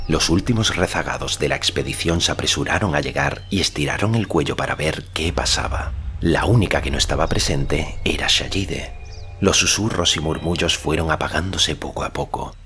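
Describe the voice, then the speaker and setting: A man narrating a literary audiobook in a measured, solemn storytelling manner. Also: extremely low pitch, sharp tone, a little hiss or hum. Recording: faint music behind the voice.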